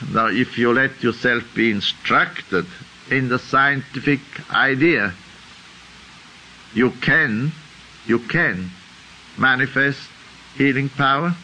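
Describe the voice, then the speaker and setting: Slow, bright-voiced older Swiss man. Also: mid-range voice, a little hiss or hum, low-quality recording. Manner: deliberate, thinking aloud, tags questions with "huh?". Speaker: teacher. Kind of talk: unscripted lecture.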